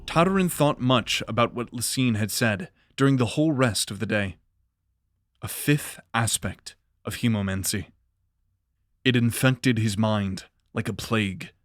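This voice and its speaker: A man reading scripted fantasy narration in a measured, dramatic way for an audio drama. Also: medium pitch, dry recording, studio quality.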